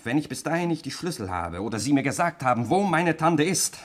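A man's voice: fairly high pitch, sing-song, fairly quick. An actor playing a sardonic, menacing nephew in a 1960s radio drama, needling and commanding as he holds a suspect at gunpoint. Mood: threatening, commanding. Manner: mocking, commanding, needling address.

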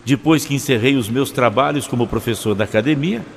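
A man playing a wary, learned alien former professor in an audio drama, explaining things gravely. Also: medium pitch, brisk, close to an everyday voice. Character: learned, wary male Time Lord, reclusive former professor. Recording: faint music under the voice.

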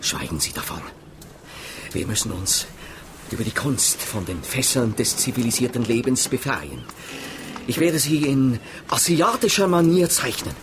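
A man's voice: medium pitch, sing-song, unhurried, noisy background. A man playing a flustered, romantic artist in a radio drama, speaking with theatrical earnestness. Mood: ardent. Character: flustered, romantic, high-flown male artist.

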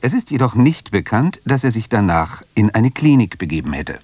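A man's voice: fairly low pitch, sing-song, normal speed, a little mellow, soft background music. A man announcing a radio programme in formal, scripted broadcast narration. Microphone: close studio microphone.

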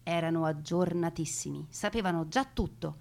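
A woman giving a theatrical, scripted narration of wartime memoirs in a solemn, dramatic manner, with deliberate, emphatic phrasing. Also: low-pitched, vocal fry, darkish tone. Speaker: narrator and actress reading memoirs. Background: music.

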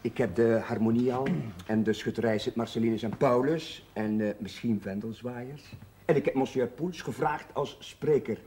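An actor playing a polite, earnest chaplain who speaks haltingly and apologetically in a 1970s TV drama. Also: male voice, medium pitch, warm tone, slight background noise, close to an everyday voice. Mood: eager, nervous. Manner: halting, apologetic, repeats himself. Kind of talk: naturalistic 1970s television drama acting.

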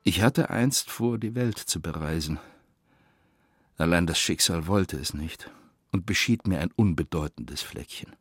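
Resigned writer in a radio play, deep n husky, slow and subdued, dry studio sound. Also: male voice, no echo. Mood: resigned, wistful.

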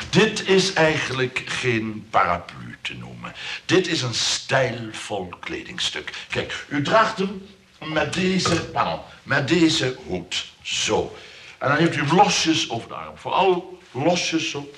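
Fussy, flustered salesman, noisy old recording. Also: medium pitch. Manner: stammering, repetitive, overly polite patter. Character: fussy, flustered umbrella shop salesman, eager but clumsy. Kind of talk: comic radio play acting.

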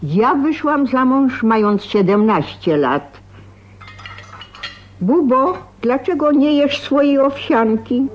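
Radio play aunt: older, fretful, nagging, unhurried highish voice, dull recording. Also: female voice, animated. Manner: fussing, nagging, gossipy. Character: fussy, fretful older aunt, forever worrying. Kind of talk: radio drama acting.

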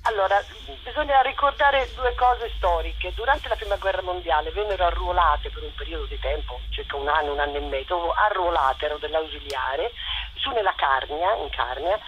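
A woman interviewed on radio as a theatre director, talking effusively in a halting, digressive storytelling way. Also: lowish voice, fairly bright tone. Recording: dull, noisy radio phone line.

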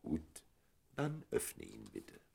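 A wise older gentleman diplomat in his fifties in a radio drama. His voice is low and husky, with very lively intonation. He speaks as a fatherly friend, in measured stage phrasing. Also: heavily acted. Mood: reflective. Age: 50s. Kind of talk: radio play acting.

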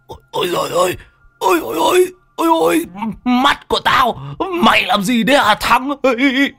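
A man voicing a blustering, panicky old male exorcist master with whiny, wailing cries, far above his own pitch. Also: animated, fairly quick, creaky, sharp tone, faint music behind, exaggerated voice. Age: older.

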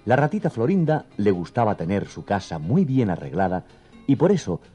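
A man narrating a scripted children's story in an expressive, theatrical storytelling manner. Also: lowish voice, moderate pace, breathy edge, soft background music.

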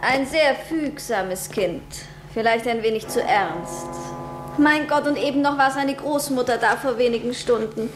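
A woman's voice: fairly high pitch, a little slow, reverberant, over music, natural voice. An actress playing an anxious, prim woman in a radio drama. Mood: worried. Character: anxious, prim woman discussing a missing child.

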